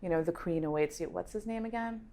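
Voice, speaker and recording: A woman talking as a player in a tabletop game, deliberate and articulate, with a wry edge as she reasons out her character's choices. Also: fairly low pitch, mellow. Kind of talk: casual in-game discussion.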